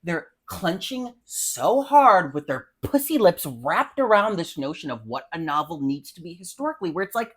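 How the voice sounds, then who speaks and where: A woman's voice: fairly low pitch, very animated, normal speed, few pauses, slightly rough. A young woman co-hosting a podcast in casual chat, scathing and foul-mouthed, full of slang as she rants. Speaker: podcast co-host.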